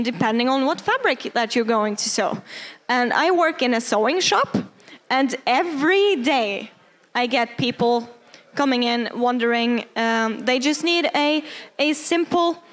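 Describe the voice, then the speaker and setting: Young woman, high pitch, non-native English speaker, casual presenter who stops to hunt for words. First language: not English. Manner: hesitant, many fillers, searching for words. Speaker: cosplay workshop presenter. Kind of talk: casual workshop talk.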